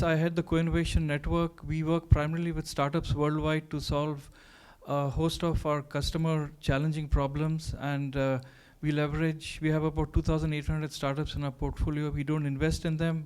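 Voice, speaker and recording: A man's voice: a little high, darkish tone, smooth. A man introducing himself as a panelist on a conference stage, matter-of-fact and leaning on many "uh" fillers.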